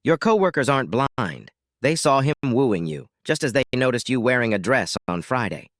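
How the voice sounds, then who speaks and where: A man's voice: mid-range voice, big pitch swings, normal speed, fairly bright tone, dry recording, studio quality. A man playing an angry, betrayed husband, confronting and accusing with sarcastic force.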